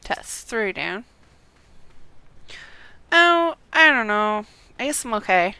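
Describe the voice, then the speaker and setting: A woman's voice: on the high side, very animated, slow, pushed and bright, clean tone, dry recording. A woman chatting casually on a live game stream, often talking to herself in short fragments as she plays. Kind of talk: casual live gameplay chat.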